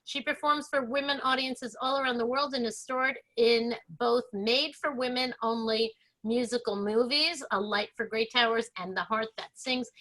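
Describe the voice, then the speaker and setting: Gushing woman host, bright voice. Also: on the high side, rough recording. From Brooklyn, New York. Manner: effusive and gushing. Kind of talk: introducing performers.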